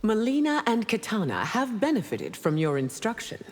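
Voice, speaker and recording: An actress playing a regal, commanding empress in a video game, with an imperious, dignified manner. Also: female voice, medium pitch, huge pitch swings, normal speed, darkish tone. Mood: commanding, solemn. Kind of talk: video game character acting. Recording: reverberant hall with clear noise.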